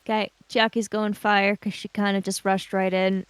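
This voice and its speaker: A woman narrating her character's actions in a tabletop roleplaying game, in halting, deliberate phrases. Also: mid-range voice, flat delivery, smooth, dry recording.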